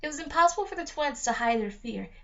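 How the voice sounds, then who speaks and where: A woman with a fairly high voice reads a children's story as narrator. She now and then trips on a word. The recording is dull and lo-fi. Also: expressive, fast, bright, forceful tone. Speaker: narrator reading a novel aloud.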